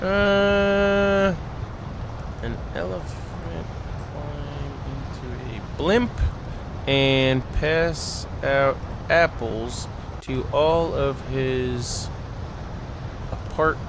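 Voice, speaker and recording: A man's voice: fairly high pitch, very slow, noisy background. A man hosting a gaming video, reading narration aloud with playful, jokey improvised asides.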